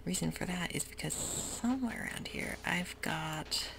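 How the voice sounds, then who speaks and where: A woman whispering ASMR gameplay commentary in a soft, rambling way with many ums. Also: lowish voice, lively intonation, moderate pace, vocal fry, background noise. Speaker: gaming ASMR host.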